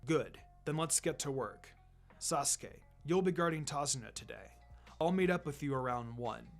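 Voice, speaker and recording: Calm, firm male ninja mentor, played by a man reading fan fiction, lowered pitch, measured and reassuring, music underneath. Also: gravelly. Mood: firm, businesslike. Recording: music behind the voice.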